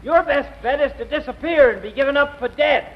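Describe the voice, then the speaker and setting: High-voiced grumbling lawyer, old radio. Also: male voice, brisk. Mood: dry, deadpan. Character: sleepy, put-upon male lawyer friend, drily unhelpful. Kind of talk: 1940s radio acting. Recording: muffled, noisy old radio recording.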